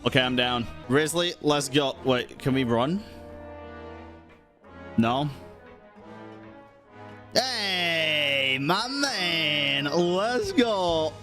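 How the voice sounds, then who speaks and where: A man's voice: fairly high pitch, animated, very slow, pauses often, pushed and bright, faint music behind. A man commentating casually on his live gameplay, slangy, joking and swearing freely. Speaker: game streamer.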